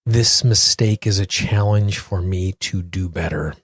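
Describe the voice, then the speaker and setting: A man hosting a solo podcast, speaking earnestly in deliberate phrases, repeating words for emphasis. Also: low voice, gravelly, fairly bright tone, husky, no echo, studio quality. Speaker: podcast host. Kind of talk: solo podcast talk with guided affirmations.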